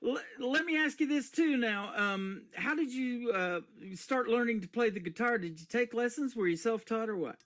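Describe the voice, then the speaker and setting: A man's voice: very high voice, normal speed, hardly any pauses, a bit bright. A man hosting a country radio show, asking chatty, friendly questions. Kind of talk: casual interview.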